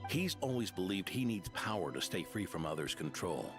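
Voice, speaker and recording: Old game knight, deep voice, expressive intonation, weary, shrewd, humble, reflective. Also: male voice. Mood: regretful. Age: older. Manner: measured, sighing, reflective. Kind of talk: fantasy game voice acting. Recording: game audio with faint music.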